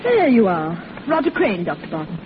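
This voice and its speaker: An actress playing a brisk, courteous hospital assistant in a 1940s radio drama. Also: female voice, medium pitch, sing-song, close to an everyday voice. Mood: helpful, courteous. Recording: tinny, noisy old radio broadcast.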